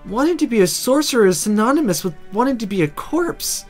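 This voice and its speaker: A man's voice: very high voice, sing-song, brisk, soft background music, voice pushed up, full character voice. A man voicing a blunt, dismissive young female apprentice mage in a visual novel. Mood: scornful. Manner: dismissive, challenging.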